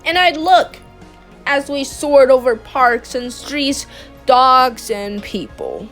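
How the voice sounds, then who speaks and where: A woman reading a picture book aloud in a gentle, expressive way. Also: high voice, slow pace, faint music behind. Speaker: storybook reader.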